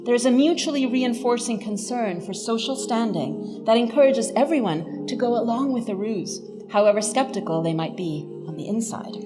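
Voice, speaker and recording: Lively woman professor, slightly high voice, wry emphatic lecture, reverberant hall, music behind. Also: moderate pace, hardly any pauses. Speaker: professor giving an illuminated lecture. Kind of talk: scripted lecture.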